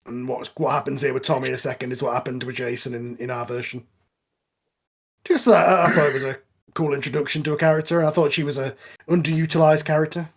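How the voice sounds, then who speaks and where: A man's voice: medium pitch, fairly even intonation, fast, slightly rough. A man chatting casually through a film commentary with a wry, joking manner. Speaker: audio drama writer on cast commentary track.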